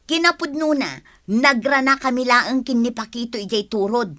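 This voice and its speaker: A woman playing an outspoken, wronged village wife in a radio drama, with an expressive, indignant manner. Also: fairly high pitch, moderate pace, lightly acted. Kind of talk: Ilocano radio drama acting.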